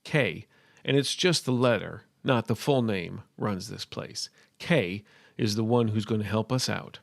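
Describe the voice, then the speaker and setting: A man voicing a terse, guarded, gruffly protective gunslinger in a story, speaking flatly and curtly. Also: on the low side, measured pace, no echo, studio quality. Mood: exasperated. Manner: flat, curt. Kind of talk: storybook character voice.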